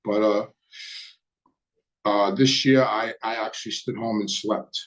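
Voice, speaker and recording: An older man with a New York accent hosts a podcast, talking casually to his viewers in short, plain-spoken phrases with frequent pauses. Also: lowish voice, steady tone, a bit bright. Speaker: podcast host.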